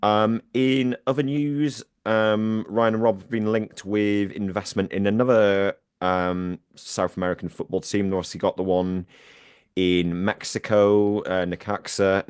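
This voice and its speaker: A man's voice: on the low side, level tone, unhurried, darkish tone, clear, resonant tone, no room sound, studio quality. A man co-hosting a football podcast, chatty and self-deprecating, reading out a news roundup. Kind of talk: casual podcast chat.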